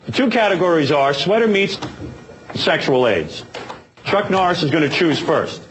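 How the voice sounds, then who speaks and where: A man hosting a radio game segment, teasing the players with quick wisecracks. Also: a little high, big pitch swings, fairly bright tone, slight background noise, rough recording. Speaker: radio show host running a game. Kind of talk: joking game-show hosting.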